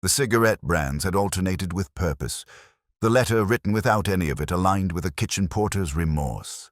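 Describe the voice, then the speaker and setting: A man narrating as a brooding, reflective English detective, in measured, literary, melancholy first-person mystery storytelling. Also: deep voice, expressive, dry recording, studio quality. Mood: pensive.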